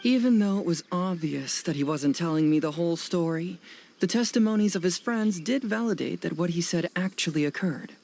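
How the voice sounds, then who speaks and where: An actor playing a serious, analytical young male detective in a video game dub, speaking in a measured, formal, matter-of-fact way. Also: high-pitched, creaky, a little mellow. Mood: serious, investigative.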